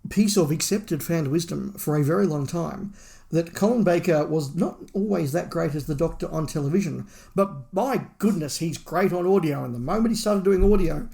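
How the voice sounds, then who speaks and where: A man's voice: a little high, moderate pace, creaky, dark tone. A man co-hosting a podcast, giving an opinionated review in casual discussion, often repeating phrases for emphasis. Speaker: podcast co-host reviewing audio drama.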